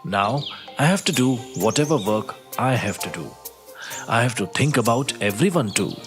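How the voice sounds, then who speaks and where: A voice actor playing a wise old talking walnut tree in a cartoon story, calm and lecturing. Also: medium pitch, moderate pace, natural voice. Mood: gentle. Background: music.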